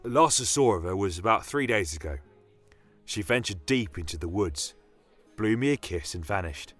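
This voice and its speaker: A man's voice: lowish voice, animated, moderate pace, fairly bright tone. Guy doing a wistful barkeep whos hung up on someone, emotional, fantasy tale w music behind. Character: lovelorn village barkeep, earnest and wistful.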